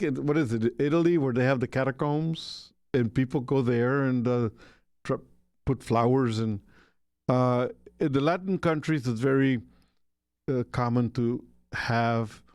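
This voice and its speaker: A man's voice: mid-range voice, darkish tone, dry recording, clean studio sound. A man from Costa Rica hosting a casual radio talk segment, rambling with many fillers and restarts. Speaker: radio show host.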